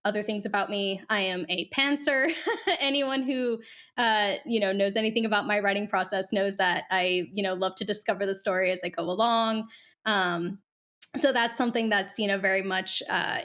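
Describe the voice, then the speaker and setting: A woman's voice: mid-range voice, moderate pace, sharp tone. A young woman from Kuwait, a guest author, chatting casually in an interview with lots of fillers. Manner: chatty, frequent fillers, laughs easily. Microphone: video call.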